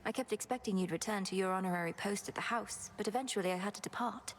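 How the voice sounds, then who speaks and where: A woman playing an earnest young underworld princess in a video game, speaking formally and with measured courtesy. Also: mid-range voice, a little hiss or hum, lightly acted. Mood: gentle, sincere. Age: young adult.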